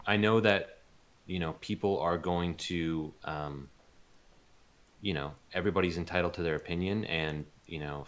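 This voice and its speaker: A man's voice: extremely low pitch, a bit bright. A man being interviewed as a voice actor, chatting casually and thinking aloud in a hesitant, rambling way. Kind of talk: casual unscripted interview chat.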